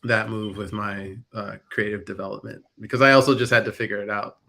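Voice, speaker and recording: Gravelly, flat-toned man on a video call. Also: fairly low pitch, fairly quick. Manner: reflective and matter-of-fact. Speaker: artist guest. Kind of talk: casual conversation over a video call.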